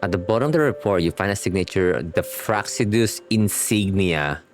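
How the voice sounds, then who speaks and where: A man's voice: low-pitched, lively intonation, normal speed. A man doing a casual live game reaction, chatting in slangy asides and reading on-screen text aloud over game music. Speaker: streamer reacting to a game story.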